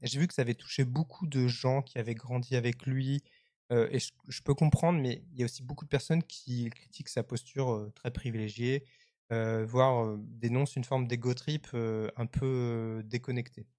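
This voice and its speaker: A man, hosting a live web-culture show in a casual, halting, conversational way. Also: mid-range voice, fairly even intonation, dark tone, dry recording. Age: 30s. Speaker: live stream host.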